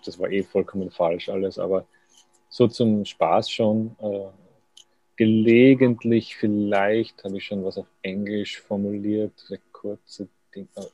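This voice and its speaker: A man's voice: lowish voice, mellow, no echo, low-quality recording. A man, a writer thinking aloud in a thoughtful, self-deprecating way as a radio interview guest.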